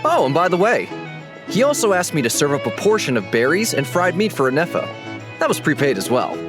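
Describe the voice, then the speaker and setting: A man's voice: on the high side, sing-song, brisk. A man voicing a friendly male tavern owner in a video game, talking in an easygoing, wry, amused way. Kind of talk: game voice acting. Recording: game dialogue over background music.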